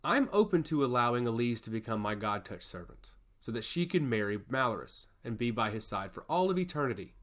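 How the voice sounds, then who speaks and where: A male storyteller plays a solemn goddess of law from a fantasy tale. She speaks formally and with measured gravity, like one who judges souls. Her delivery is earnest, with a lively, varied pitch. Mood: earnest, grave.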